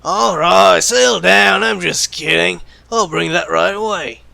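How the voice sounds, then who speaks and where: A man's voice: high-pitched, a little slow, creaky, sharp tone, dry recording, full character voice. A man playing a flippant, callous secret agent with a sardonic, teasing manner in an audio roleplay. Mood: flippant, menacing. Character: callous, sarcastic male secret agent who jokes about killing. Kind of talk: ASMR roleplay acting.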